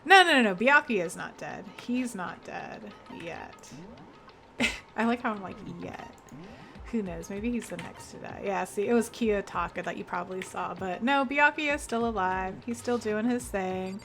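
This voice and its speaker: A woman's voice: mid-range voice, normal speed, gravelly, a bit bright, faint music behind. A young woman streaming a game, chatty and laughing often, greeting viewers by name, her voice congested with a sore throat. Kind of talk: casual game stream chat.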